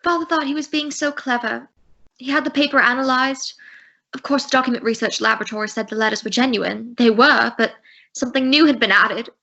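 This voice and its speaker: A woman's voice: fairly high pitch, fairly even intonation, fairly quick, pushed and bright. A teenage girl playing a distressed young woman client in a student radio drama, with an earnest, urgent manner. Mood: pleading. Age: teens. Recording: dull lo-fi recording.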